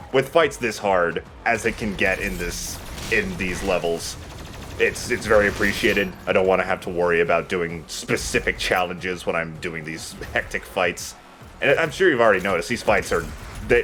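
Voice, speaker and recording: Man, low voice, casual let's play commentary, conversational, game noise behind him. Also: a bit bright. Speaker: let's play host and player.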